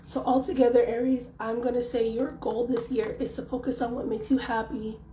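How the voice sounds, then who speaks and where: A young woman with a hoarse, worn-out voice, chatting casually through a tarot reading and leaning on lots of fillers. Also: on the high side, flat delivery, fairly quick, dark tone. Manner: chatty, loose, filler-heavy. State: hoarse, losing her voice. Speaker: tarot reader. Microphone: phone. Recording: echoey room, faint background noise.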